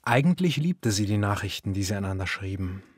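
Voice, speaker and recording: An actor playing a composed narrator, reading literary radio drama prose in a measured, formal way. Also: male voice, fairly low pitch, big pitch swings, no room sound, studio quality. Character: composed literary narrator observing a woman's affair.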